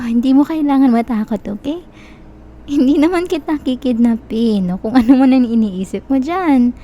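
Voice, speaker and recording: Casting: actress for young Filipino-accented yandere stalker in ASMR roleplay; fairly high, very gentle mellow tone, possessive, manipulative, sweetly threatening. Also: female voice. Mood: coaxing. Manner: soft, coaxing, teasing, quietly menacing. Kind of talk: Tagalog-style ASMR roleplay, intimate close address.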